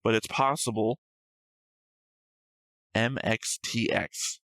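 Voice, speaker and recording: Man, reaction show host, lowish voice, matter-of-fact, self-correcting, casual discussion, very dry sound. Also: steady tone, slow, vocal fry, fairly bright tone, no echo. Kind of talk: casual discussion, reading out notes and comments.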